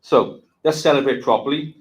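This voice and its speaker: A man's voice: medium pitch, moderate pace. A man giving an upbeat, congratulatory opening speech as host of a graduation ceremony in an event venue. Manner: upbeat, congratulatory public address.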